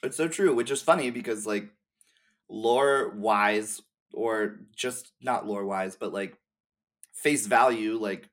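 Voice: mid-range voice, unhurried. A podcast guest and streamer chatting casually in a friendly, gushing, slangy way. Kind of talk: casual chat.

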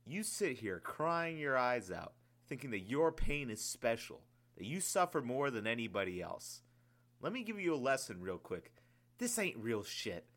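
A man voicing a grieving male army commander who curses and yells harshly. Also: mid-range voice, very animated, normal speed, few pauses, pitched above the performer's own voice. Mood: contemptuous. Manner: harsh, yelling, cursing. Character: grieving male soldier commander, bitter and explosive.

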